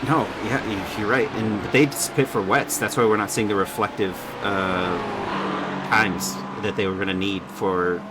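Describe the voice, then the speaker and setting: A man's voice: on the low side, fairly even intonation, moderate pace, heavy background noise. A man giving casual, conversational commentary on a sim race, speaking in a loosely improvised way.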